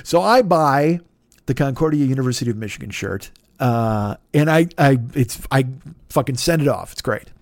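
A man hosting a podcast, telling a long comic story in a rambling, animated way, cursing constantly and acting out the exchanges he quotes. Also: medium pitch, moderate pace, no echo, studio quality. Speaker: podcast host. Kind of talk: profane comedic storytelling.

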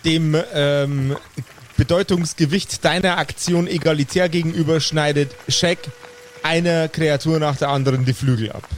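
A man's voice: on the high side, fairly even intonation, moderate pace, slight background noise. A man narrating an improvised tabletop roleplaying game as the game master. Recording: music behind the voice. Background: background music.

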